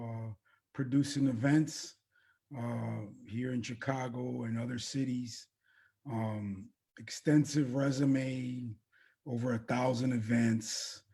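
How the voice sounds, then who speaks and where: Casting: male interview guest, fifties, dark husky timbre, measured halting delivery in short phrases. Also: mid-range voice. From born in Colombia, raised in the US. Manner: halting, pausing often between short phrases. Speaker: interview guest, veteran event producer. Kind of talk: interview answers about own background.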